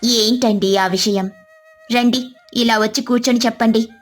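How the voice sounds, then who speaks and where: A woman voicing an excitable, supportive village wife in an expressive way for a moral story. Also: a little high, normal speed, soft background music, natural voice. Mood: curious. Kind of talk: moral story character voices.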